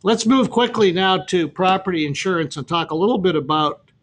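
A middle-aged man with a somewhat high voice co-hosts an insurance webinar. He talks plainly and throws in the odd light joke. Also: lively intonation, a little fast. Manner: plain-spoken, lightly joking. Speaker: insurance company president presenting a webinar. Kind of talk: webinar presentation.

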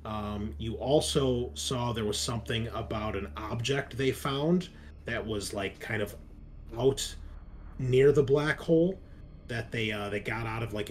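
A man narrating a tabletop roleplaying game as game master, improvising and explaining things in a halting way. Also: fairly low pitch, a little hiss or hum.

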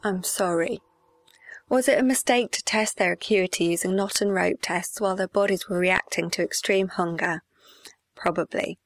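A woman's voice: fairly low pitch, gravelly, no room sound. A woman playing a breezy, callous food CEO. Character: cheerfully callous female food-tube CEO. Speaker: guest. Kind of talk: comedic radio-show parody.

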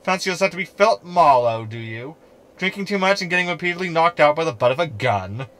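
A man's voice: on the high side, lively intonation, brisk, fairly bright tone. A man playing a sarcastic, scheming hologram in a sci-fi comedy audio drama, wordy and mocking.

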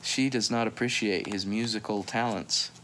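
A man's voice: lowish voice, steady tone, moderate pace, a bit bright, slight background noise, rough recording. A man in his thirties chatting playfully through a children's picture book, often wandering off into asides. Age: 30s. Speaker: read-aloud host. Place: small room at home, on a couch.

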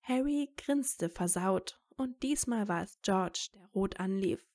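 A woman narrates a piece of fan fiction as an audio story. Her voice sits at a medium pitch, and she reads from a script as the storyteller. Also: expressive, slow, darkish tone.

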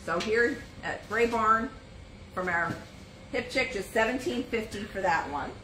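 A woman's voice: medium pitch, unhurried, pushed and bright. A middle-aged woman hosting a live antiques sale from a shop booth, chatting casually as she reads out items, sizes and prices. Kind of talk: live sales stream, casual item pitching. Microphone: phone or camera on a stand, moving around in frame. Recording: roomy shop sound with background noise.

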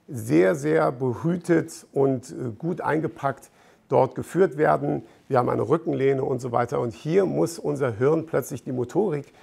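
A middle-aged man explaining fitness equipment to camera in an informal, deliberate way, as an expert presenter. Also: mid-range voice, gravelly, darkish tone, husky. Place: bright gym showroom indoors with large windows.

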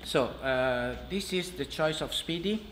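A man with an Italian accent demonstrating test gear in a lab, speaking haltingly with fillers. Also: on the high side, lively intonation, fairly bright tone. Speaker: senior designer. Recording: echoey room with noise and clicks.

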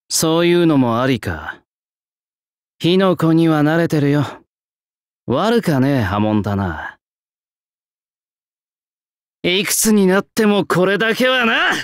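Gruff swordsmith spirit, swooping pitch. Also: male voice, sing-song, slow pace, pauses often, dry recording, studio quality. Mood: gruff, nonchalant. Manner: rough, old-fashioned, terse craftsman's way of talking. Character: gruff old swordsmith spirit in a young body, brusque and proud. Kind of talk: anime game battle voice lines.

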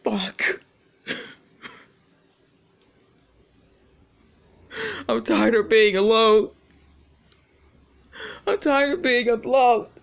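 Actor playing a haunted, anguished man. Slow, heavy delivery, lots of cursing, addressing an absent mother. Muffled, lo-fi sound. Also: very high-pitched, creaky, exaggerated voice. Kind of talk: dramatic monologue.

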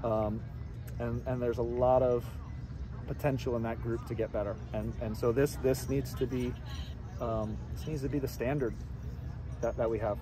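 Brief: middle-aged male coach, even mid pitch, measured answers with restarts, outdoor street hum behind. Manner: measured, repeats words while thinking. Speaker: head soccer coach. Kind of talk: postgame press interview. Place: outdoors by a stadium track at night.

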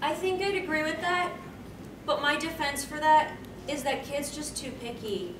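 A woman's voice: high-pitched, moderate pace. A young woman hosting a panel in a casual, giggly, hesitant way, speaking to an audience. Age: young adult. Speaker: panel host. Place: convention meeting room, on a stage. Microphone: distant camera microphone. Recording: distant, echoey, noisy camera recording.

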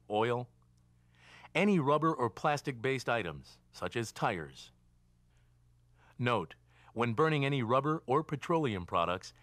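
A man reading scripted instructional narration for a training film in a measured, didactic way. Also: medium pitch, a bit bright, dry recording. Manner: measured, didactic, enumerating points. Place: studio voice-over.

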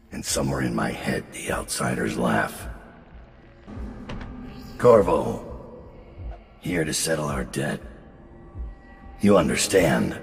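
An actor voicing a grim, guilt-ridden middle-aged master assassin in a video game, brooding, deliberate and menacing, with a gravelly voice. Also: male voice, rumbling low, heavily acted. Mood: defiant. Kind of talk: video game voice acting, dramatic monologue.

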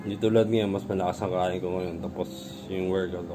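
A man's voice: low-pitched, fairly even intonation, a little fast, over loud music. A man vlogging casually at home about his workout gear, rambling and repeating himself as he talks into a phone camera. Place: home room with gym gear.

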